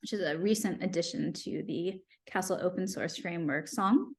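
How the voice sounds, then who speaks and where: Young woman podcast host, upbeat, brisk questions, video call sound. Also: lowish voice. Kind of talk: friendly interview hosting.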